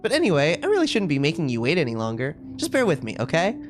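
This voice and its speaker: A man's voice: a little high, wildly swooping pitch, quick pace. A man voicing a sweet, possessive, self-aware schoolgirl from a video game in a chatty, cutesy, confiding way. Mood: breezy apologetic. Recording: music playing behind the voice. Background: game music.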